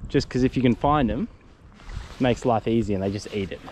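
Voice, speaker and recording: A man's voice: medium pitch, brisk, a little mellow. A young Australian man fly fishing from a lakeshore, chatty as he explains his tips, recorded on a head-mounted camera. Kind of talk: casual outdoor fishing vlog. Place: lakeshore outdoors on a windy day. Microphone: head-mounted action camera. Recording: wind noise on an outdoor camera mic.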